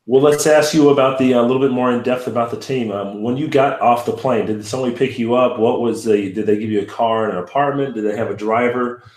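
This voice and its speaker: A man's voice: mid-range voice, fairly even intonation, fast talker, few pauses, darkish tone. Man asking rambling questions, echoey room. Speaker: podcast host and high school coach. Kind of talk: podcast interview.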